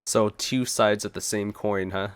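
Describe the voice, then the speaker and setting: A man's voice: fairly low pitch, fairly even intonation, unhurried, clean tone, dry recording. A man giving casual reaction commentary as the host of a game playthrough. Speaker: let's play host.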